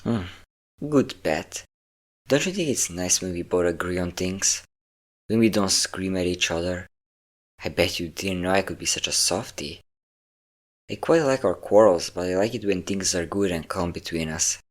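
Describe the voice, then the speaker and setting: A man acts out a centuries-old male vampire with a low-pitched voice, speaking intimately to the one he holds captive. He is possessive yet doting, coaxing with teasing endearments in an audio roleplay. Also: normal speed. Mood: coaxing, controlling. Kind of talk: intimate scripted audio roleplay addressed to a listener.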